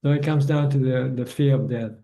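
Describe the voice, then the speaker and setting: A man's voice: mid-range voice, steady tone, a little fast, dark tone. A man answering questions as a Buddhist teacher on a video call, speaking plainly and often repeating words as he finds his phrasing. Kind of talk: online dharma Q&A.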